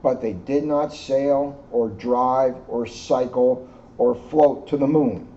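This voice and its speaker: Male storybook reader, police officer, relaxed warm timbre, lo-fi recording. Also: medium pitch, slow. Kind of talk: children's storybook read-aloud with casual asides. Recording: dull lo-fi sound, faint background noise.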